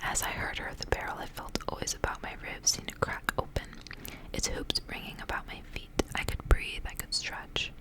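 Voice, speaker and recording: A woman's voice: normal speed, rough recording. Whispering woman reading ASMR stories. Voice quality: breathy unvoiced whisper. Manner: soft, hushed, intimate delivery. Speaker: ASMR reader and channel host. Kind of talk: whispered ASMR storybook reading with casual asides.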